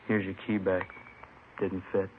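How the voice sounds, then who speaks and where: An actor playing a laconic, deadpan police detective reporting in clipped phrases in an old radio crime drama. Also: male voice, low voice, measured pace, heavy background noise. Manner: clipped, subjectless sentences, deadpan. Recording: narrow, tinny old radio recording.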